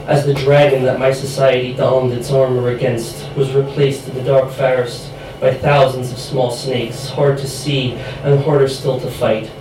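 Young male poet with a chest-infection voice, even delivery, distant mic amid room noise. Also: medium pitch, very echoey. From United States. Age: young adult. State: getting over a chest infection, voice going. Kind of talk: reading own poem aloud at a live event.

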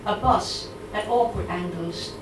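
A middle-aged woman with a Dublin Irish accent reads her poems aloud at a live event, steady and measured, slipping into halting, um-filled chat between pieces. She sounds set back from the microphone in a reverberant room. A steady murmur of room ambience sits underneath her. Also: mid-range voice, background noise. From Ireland. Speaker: poet. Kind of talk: live poetry reading with chatty asides. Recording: roomy, distant mic, audible room ambience.

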